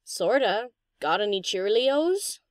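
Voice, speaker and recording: A woman acts out a brash, slangy female DJ pony in an audio story. Her middle-pitched voice bounces around expressively as she teases in an easygoing, cheeky way. The recording is very dry and close. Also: sing-song, slow, dry recording. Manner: casual, teasing, brash. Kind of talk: scripted audio story character acting.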